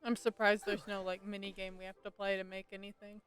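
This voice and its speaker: A woman's voice: mid-range voice, flat delivery, fast, fairly bright tone, soft background music. A woman giving casual live gameplay commentary as a streamer, informally thinking aloud. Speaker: game streamer.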